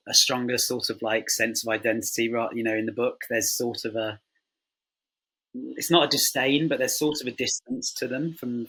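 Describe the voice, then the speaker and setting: Husky, low-voiced man talking casually. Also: bright, forceful tone, low-quality recording. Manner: informal, filler-heavy, rambling. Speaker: writer, panel guest. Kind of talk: casual interview talk.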